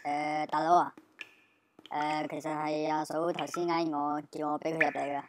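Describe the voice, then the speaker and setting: A woman's voice: sits low, level tone, normal speed, a little hiss or hum, natural voice. A woman with a Hong Kong accent playing a deferential, matter-of-fact gang underling in a comic radio drama.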